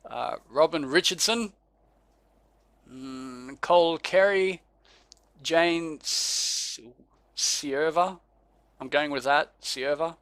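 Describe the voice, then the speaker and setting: A man's voice: fairly high pitch, very slow, long pauses, a bit bright, smooth, dry recording. A man with an Australian accent, co-hosting a casual podcast in a laid-back way, chuckling and stumbling over names as he reads them out. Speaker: podcast co-host. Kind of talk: casual chat.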